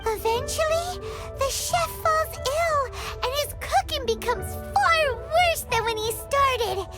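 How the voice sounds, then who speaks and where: A woman voicing a tiny, excitable fairy companion in a game, talking in an animated, exclamatory way. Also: slow pace, full character voice. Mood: storytelling. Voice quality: squeaky, bubbly, refers to herself by name. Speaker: game character. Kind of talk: game cutscene voice acting. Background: game score music.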